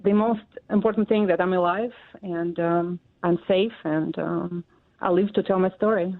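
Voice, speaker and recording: A woman in her forties from Russia, speaking English with a Russian accent as an interview guest, halting and full of fillers. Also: fairly low pitch, steady tone. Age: 40s. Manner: halting, many fillers and pauses. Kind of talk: interview answers. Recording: tinny phone line.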